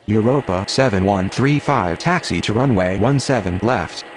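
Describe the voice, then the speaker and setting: Low male radio voice under loud noise. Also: normal speed. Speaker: air traffic controller and pilot radio voice in a simulation game. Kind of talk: clipped scripted aviation radio phraseology.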